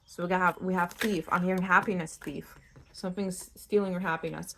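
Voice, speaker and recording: A woman's voice: on the low side, steady tone, fairly quick, fairly bright tone, poor audio. A woman from Lithuania, giving an unscripted tarot reading in a blunt, conversational way, speaking straight to the listener. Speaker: tarot reader hosting her own channel. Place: indoors at home in a new-build house.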